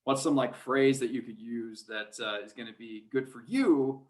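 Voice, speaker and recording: A man's voice: medium pitch, moderate pace. A man speaking as a guest sports announcer in a casual podcast interview, rambling and hesitant as he thinks aloud.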